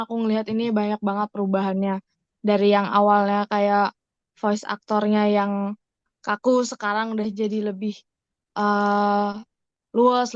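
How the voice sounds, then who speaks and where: A young Indonesian woman chatting casually as a podcast guest, often halting to search for her words. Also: medium pitch, no room sound, dull audio. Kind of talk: casual chat.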